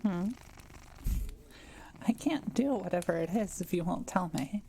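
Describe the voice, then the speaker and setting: Woman acting a gentle, mellow-voiced forest witch. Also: on the low side, animated, unhurried, slight background noise, poor audio. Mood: curious, coaxing. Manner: gentle, hesitant, softly teasing. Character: kind, shy, quiet forest witch. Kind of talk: intimate audio roleplay, speaking to the listener.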